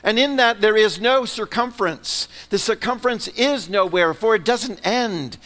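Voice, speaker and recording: A man delivering a sermon in a large church, his voice fairly high for a man and trailing off into a long reverb. He swings from ringing, emphatic phrases down to near-whispered, hushed lines. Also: normal speed. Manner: dramatic swings between loud emphatic and soft hushed delivery. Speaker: pastor.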